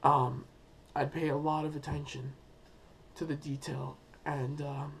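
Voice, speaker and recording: A young man who is sick and losing his voice, giving an unscripted school presentation with many pauses and fillers. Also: a little high, flat delivery, slight background noise. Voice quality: hoarse. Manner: hesitant, many ums and uhs, thinking aloud. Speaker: student presenting a school project.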